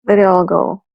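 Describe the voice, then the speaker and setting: A woman co-host in a casual chat, supportive and quick to laugh. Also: medium pitch, creaky, darkish tone, dry recording, dull audio. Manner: giggly, supportive, laughs often.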